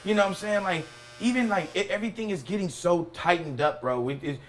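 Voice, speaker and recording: A man's voice: sits high, fast, a little hiss or hum. A man from South Bay, Los Angeles, a barber guest, chatting casually in slangy, rambling talk with lots of "you know what I'm saying". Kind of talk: casual podcast chat.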